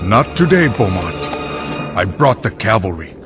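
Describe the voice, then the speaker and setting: Solemn, low-voiced old tracker. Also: male voice, sing-song, normal speed. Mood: urgent. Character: grave, wise old great-uncle, a seasoned tracker. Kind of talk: video game cutscene acting. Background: music.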